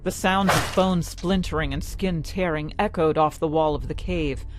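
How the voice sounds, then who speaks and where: A woman storyteller reading scripted fantasy narration in a measured, dramatic way. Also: low-pitched, fairly even intonation, noisy background. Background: music.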